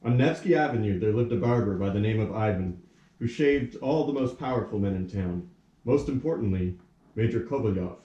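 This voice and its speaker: A man's voice: fairly low pitch, animated, brisk, dark tone, dull audio. A man narrating a scripted story for a puppet play, recorded at a distance in a roomy space.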